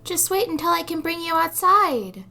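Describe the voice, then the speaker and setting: A woman's voice: very high-pitched, lively intonation, normal speed, vocal fry, sharp tone, faint music behind, voice pushed up, full character voice. A woman voicing an earnest, lonely and proud little boy of about six, eager and a bit haughty, in a storybook reading.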